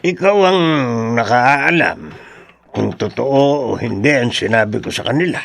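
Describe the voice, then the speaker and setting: A man's voice: medium pitch, lively intonation, a little slow, vocal fry, fairly bright tone, heavily acted. An actor playing a sly older man in a radio horror drama, insinuating and ominous in his delivery.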